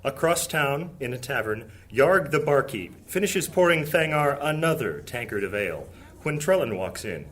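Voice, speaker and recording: A man playing the narrator of a high fantasy audio drama, reading scripted storytelling. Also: sing-song, normal speed, fairly bright tone. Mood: scene-setting.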